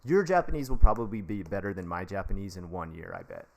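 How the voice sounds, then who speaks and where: An American man with a fairly low voice hosts a live advice stream. He rambles and cracks puns, talking to his viewers like buddies in a loose, casual way. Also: big pitch swings, fast talker, gravelly. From United States. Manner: rambling, jokey, chummy, addresses viewers directly. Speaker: livestream advice host; English teacher.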